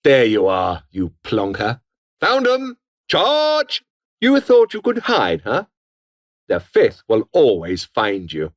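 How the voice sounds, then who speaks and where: A British revolutionary foot soldier in a video game. His pitch leaps and drops dramatically, and he takes his time over the words. He is foul-mouthed, taunting and full of menace, with theatrical delivery. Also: wildly swooping pitch, slow pace, full character voice. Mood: menacing, rallying. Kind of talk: video game combat barks.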